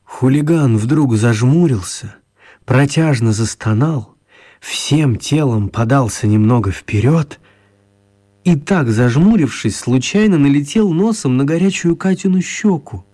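A man narrating a children's radio play as an expressive, wry storyteller. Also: medium pitch, measured pace. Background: music.

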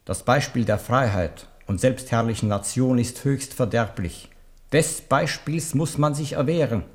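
An older man in a radio drama playing a bowed old papal legate, formal and deliberate, humble on the surface but coldly warning. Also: mid-range voice, natural voice. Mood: stern, accusing. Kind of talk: 1970s German radio drama acting.

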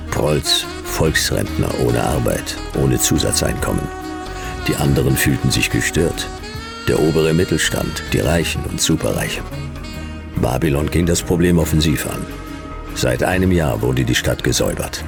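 An actor playing a world-weary, wry private detective in a futuristic radio crime drama, gravelly, laconic and deadpan in clipped sentences. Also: male voice, extremely low pitch, moderate pace, music in the background. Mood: deadpan, sardonic. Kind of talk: noir radio drama, first-person narration and dialogue.

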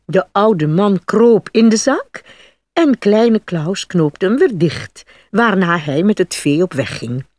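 A woman narrating a scripted fairy tale for children in an expressive, dramatic read-aloud style with a slightly husky voice. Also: mid-range voice, takes it slowly, no room sound, studio quality. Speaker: storyteller.

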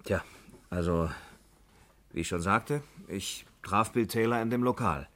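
An actor playing a sheepish, defensive company employee under questioning in a 1960s German radio crime drama, explaining himself hesitantly. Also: male voice, on the low side, measured pace, fairly bright tone, a little hiss or hum.

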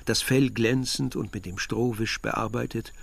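An actor playing a reflective man narrating his village youth in a literary radio drama, measured and articulate. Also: medium pitch, steady tone, gravelly.

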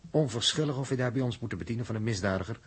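An actor with a Netherlands Dutch accent playing a calm, resolute spaceship commander in 1960s radio drama, husky, deliberate and authoritative. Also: male voice, medium pitch, lightly acted. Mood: grave, resolute.

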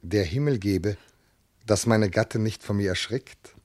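A tender, grave nobleman in a classical radio play , acted by a man. He speaks slowly in a fairly low voice. The verse is measured and declaimed, with a dry sound. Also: a little mellow, no room sound, natural voice. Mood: apprehensive. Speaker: actor.

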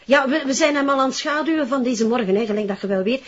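A woman's voice: high-pitched, fast talker, slightly rough. A woman playing an eager, apologetic junior police officer with a Flemish accent in a crime drama. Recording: muffled, lo-fi drama soundtrack.

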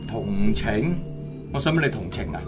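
A man's voice: on the low side, lively intonation, moderate pace, natural voice. A man playing the vengeful, menacing ghost of a young student athlete in a Hong Kong Cantonese radio drama. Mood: resentful. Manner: menacing, taunting, commanding. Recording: loud background music under the voice.

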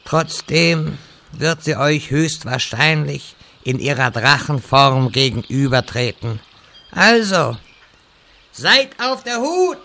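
A man's voice: a little high, expressive, slow pace, gravelly, bright, forceful tone, heavily acted. A man playing an old, cryptic wizard mentor in a German comedy fantasy audio drama, lecturing in a portentous way. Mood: ominous.

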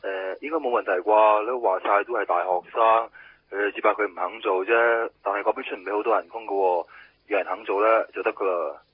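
A man's voice: lowish voice, steady tone, brisk, a little hiss or hum, natural voice. An actor playing a chatty, nosy and helpful young male friend in a radio drama. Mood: casual helpful. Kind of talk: Cantonese radio drama acting. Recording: dull radio drama recording with music.

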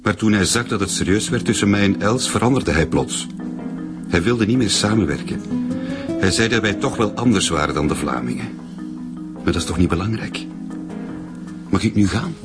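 A man acts out a young Turkish-Flemish suspect in a radio crime drama. His voice is low and his manner is defensive as he explains himself. Clear music runs underneath. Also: animated, normal speed, long pauses, close to an everyday voice. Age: young adult. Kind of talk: naturalistic radio drama acting.

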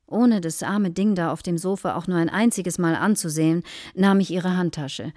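Radio drama: earnest, formal wife played by an actress, dramatic storyteller, very dry studio sound. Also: female voice, medium pitch, darkish tone, dry recording, lightly acted. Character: earnest married woman solemnly recounting a shocking anecdote to a guest. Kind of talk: German radio play acting.

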